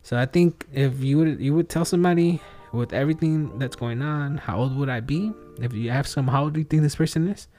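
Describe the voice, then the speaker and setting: A man in his thirties talks through his own thoughts on a solo podcast, circling back and restarting as he questions himself. His voice sits at an ordinary middle pitch. A soft bed of music plays faintly underneath. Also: fairly quick, few pauses. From outside the US. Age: 30s. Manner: rambling, self-questioning, with frequent fillers and restarts. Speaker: podcast host. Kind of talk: solo reflective podcast monologue.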